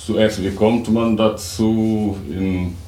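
A middle-aged man from Senegal, talking casually and reflectively as an interview guest, halting now and then. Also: lowish voice, fairly even intonation, dark tone. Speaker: interview guest, writer and German teacher. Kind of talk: casual, unscripted interview talk. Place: radio studio. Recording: roomy, distant mic, faint noise.

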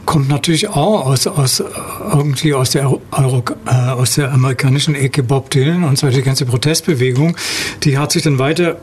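A man chatting as a musician guest in an interview, halting as he searches for words. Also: medium pitch, fairly even intonation, slight background noise. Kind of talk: casual interview chat.

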